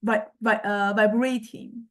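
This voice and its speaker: A woman lecturer explaining a software demonstration in a halting way, often repeating words as she searches for the next one. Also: medium pitch, steady tone.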